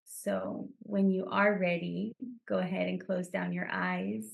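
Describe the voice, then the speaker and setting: Woman, podcast guest, meditative guiding style, soothing, very slow pace, even intonation, creaky edge. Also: fairly low pitch, rough recording. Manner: soothing, deliberate. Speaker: podcast guest, cacao business founder. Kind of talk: guided meditative blessing.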